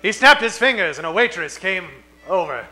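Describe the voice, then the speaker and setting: Man as a high, bright radio-play narrator. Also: very animated, moderate pace, faint music behind, exaggerated voice. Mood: melodramatic. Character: melodramatic male pulp radio narrator. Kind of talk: scripted old-time radio comedy narration.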